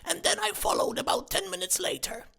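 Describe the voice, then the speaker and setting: A haughty, godly spinster for a comic murder-mystery drama, preaches with pompous indignation. The voice is piercingly bright and swings dramatically up and down, with heavy gravel running through it. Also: female voice, extremely high pitch, animated, fairly quick, full character voice. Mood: scandalized. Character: self-righteous, prudish, pious spinster sister, scandalized and haughty.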